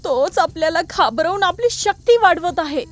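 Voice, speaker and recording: A woman's voice: very high-pitched, expressive, normal speed, background noise, voice pushed up. A woman voicing a brave, determined female goat in an animal story, speaking earnestly and emphatically. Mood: resolute.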